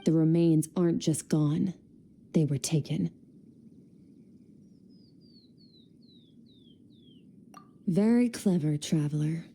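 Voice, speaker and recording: A woman playing an aloof, powerful female warrior in a video game, speaking in a cool, measured, condescending manner. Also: low-pitched, mellow, slightly rough. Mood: grave, measured. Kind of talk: dramatic video game voice acting. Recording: game music behind the voice.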